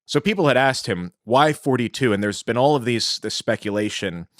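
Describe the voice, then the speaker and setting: A man's voice: mid-range voice, animated, normal speed, a bit bright, no echo, clean studio sound. A man co-hosting a podcast, explaining things in an informative, conversational way. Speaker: podcast co-host.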